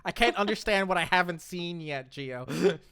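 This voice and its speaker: A man's voice: sits high, lively intonation, unhurried, sharp tone, clear, resonant tone, no room sound, clean studio sound. A man hosting a casual gaming chat in a playful, teasing way. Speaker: host of a gaming show.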